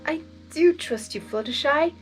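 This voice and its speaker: Woman plays possessive male chaos creature for cartoon fanfic, emphatic, coaxing, blustery, raised pitch, music behind. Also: expressive, a little slow. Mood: placating. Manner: loud, coaxing, nervous bluster.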